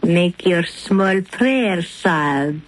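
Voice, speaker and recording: An old radio play villainess, snake charmer, low slow menacing voice, theatrical. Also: female voice, expressive, a little hiss or hum, rough recording. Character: cunning woman snake charmer, villainous and proud. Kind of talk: 1930s radio drama acting.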